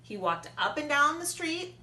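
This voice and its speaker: A woman reads a storybook to young children as their teacher. Her voice is bright and a little high, with expressive, deliberate emphasis. The room is echoey, with faint noise behind her. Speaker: preschool teacher.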